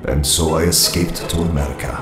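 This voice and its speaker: Very deep, brooding tyrant. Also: male voice, fairly even intonation, reverberant, music in the background. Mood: proud, grave. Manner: grave, measured, haughty. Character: older armored tyrant recounting his youth, brooding, proud. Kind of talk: dramatic comic narration.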